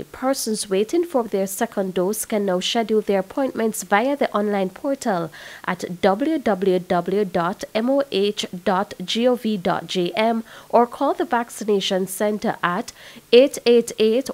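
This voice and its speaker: A woman's voice: medium pitch, darkish tone. Jamaican female reporter, scripted read. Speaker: news reporter. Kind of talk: scripted news narration.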